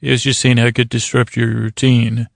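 Very creaky, monotone male podcast host. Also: medium pitch, no echo, clean studio sound. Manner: meandering, hesitant, with long pauses and self-interruptions. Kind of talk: rambling bedtime monologue.

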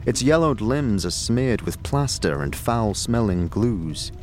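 A man's voice: on the low side, animated, slow pace, a little mellow, faint music behind. A man narrating a scripted fantasy audio drama in a dramatic storytelling manner.

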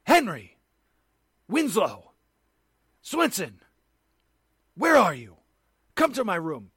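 An actor playing a nervous, hunted man who fears murder, talking to himself in halting, panicky bursts in a radio drama. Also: high voice, wildly swooping pitch, a bit bright, husky, no room sound, clean studio sound, full character voice. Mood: panicked. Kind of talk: 1940s radio drama acting.

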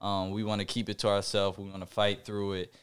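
A young man co-hosting a podcast, talking in a reflective, halting way with many fillers and restarts. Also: fairly low pitch, completely flat delivery, smooth. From Puerto Rican family background. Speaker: podcast co-host. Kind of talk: casual podcast chat.

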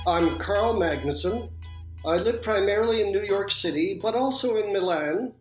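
An older man from Sweden, raised in Canada, talking slowly as an interview guest with many pauses. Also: high-pitched, lively intonation, reverberant, soft background music, poor audio. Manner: measured, with frequent pauses and uhs. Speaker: guest, industrial designer. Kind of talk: reflective interview talk.

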